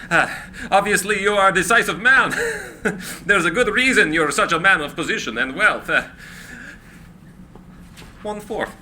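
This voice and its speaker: A man's voice: high-pitched, big pitch swings, measured pace, pauses often, bright, forceful tone, slight background noise, full character voice. A man acting an eccentric inventor in a live script reading, eager, courtly and theatrical. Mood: flustered, ingratiating.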